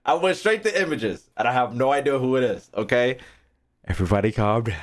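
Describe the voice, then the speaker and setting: A young American man with a mid-pitched voice talks casually as a gaming streamer. He rambles, doubles back on phrases and drops slang and swearing freely. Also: lively intonation, fairly quick. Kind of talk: casual, profane game reaction chat.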